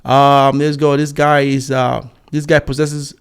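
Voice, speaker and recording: A man in his forties gives casual online commentary. He reads out and reacts to viewer comments in a scornful, rambling way, with frequent fillers and restarts. His voice sits at a middling pitch. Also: little pitch movement, measured pace, clean tone. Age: 40s. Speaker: YouTube commentator.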